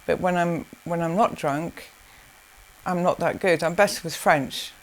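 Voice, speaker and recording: A woman from South Africa, answering interview questions in a rambling way with many restarts and soft laughs. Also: lowish voice, a little slow. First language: Zulu.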